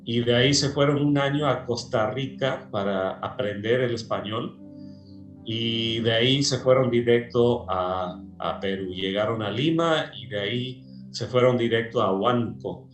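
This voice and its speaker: Man from Peru, pastor, slow unhurried talk, many fillers, roomy echo, faint music behind. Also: medium pitch. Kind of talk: casual interview chat.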